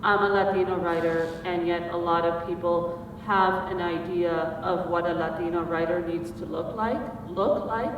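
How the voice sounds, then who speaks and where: A woman writer from Puerto Rico speaking thoughtfully on a panel, with many pauses and fillers. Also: lowish voice, fairly even intonation, recorded from a distance, dull audio. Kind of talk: panel discussion remarks. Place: conference hall. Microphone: far from the mic. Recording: echoey hall recorded from afar, noisy.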